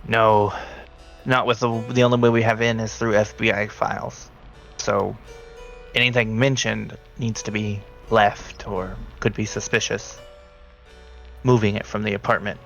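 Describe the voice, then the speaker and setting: A man narrating a tabletop roleplaying game as game master, speaking haltingly and deliberately. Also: lowish voice, level tone, gravelly, a bit bright, dull audio, soft background music. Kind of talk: narrating a tabletop roleplaying game, partly in character as a briefing handler.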